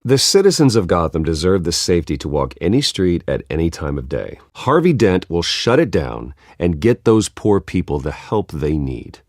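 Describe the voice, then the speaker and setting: An actor playing a guarded, brooding wealthy heir in a video game, terse and dry, with a gravelly, husky voice. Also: male voice, low-pitched, big pitch swings, normal speed, few pauses, a little mellow, no room sound, heavily acted. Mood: earnest. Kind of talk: video game dramatic acting.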